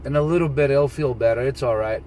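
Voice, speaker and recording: A man's voice: mid-range voice, quick pace, very noisy. A man chatting casually as a CB radio operator, repeating his phrases and calling people man and brother.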